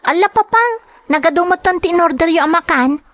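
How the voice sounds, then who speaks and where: An affectionate young schoolgirl in a radio drama, with a very high, childlike voice. She speaks expressively and gets upset easily, and the sound is close and dry. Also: expressive, brisk, fairly bright tone, no room sound. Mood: sweet, curious.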